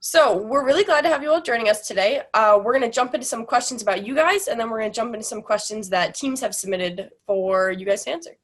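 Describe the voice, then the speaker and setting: A woman's voice: on the high side, fast talker, few pauses. A woman moderating an online panel over a video call, reading the guest introductions in an upbeat way.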